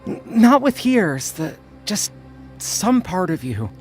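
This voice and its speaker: A man voicing a gentle mortician who murmurs tenderly to the dead, hushed and halting, as in a dramatic audiobook. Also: sits high, sing-song, soft background music, pitched above the performer's own voice, exaggerated voice. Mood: searching, hesitant.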